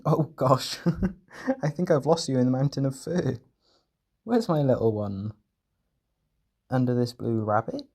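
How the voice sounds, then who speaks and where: Soft, husky man, soothing and tender. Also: mid-range voice, lively intonation, measured pace, long pauses. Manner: tender, soothing, reassuring, pet names. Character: gentle, doting male caretaker soothing an overwhelmed partner. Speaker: audio roleplay performer. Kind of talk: comfort audio roleplay, soft and intimate.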